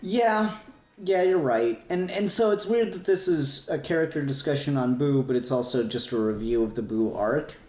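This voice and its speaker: A man co-hosting a podcast in casual, opinionated discussion, often halting to repeat and rephrase himself. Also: mid-range voice, a little mellow. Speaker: podcast co-host. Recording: roomy echo, faint music.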